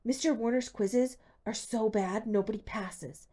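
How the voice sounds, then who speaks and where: A woman's voice: on the high side, a little slow, dark tone, voice pushed up. A woman voicing an easygoing teenage boy classmate in an audiobook, casual and reassuring.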